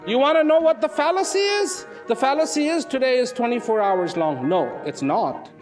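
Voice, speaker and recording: A man giving a reflective public talk, slow and emphatic, swinging from loud declarations to hushed, almost whispered lines. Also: very high voice, lively intonation. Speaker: speaker. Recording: long hall reverb with faint music.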